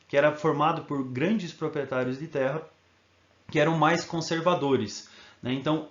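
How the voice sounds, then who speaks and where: A man's voice: on the high side, roomy sound, highs cut off. A male history teacher giving a recorded video lesson, explaining in an informal way and often checking in with tag questions.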